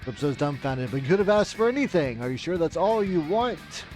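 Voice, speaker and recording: Man as game story narrator, scripted read-aloud, melodramatic tale, slightly high voice, soft music behind. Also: sing-song, brisk. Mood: storytelling.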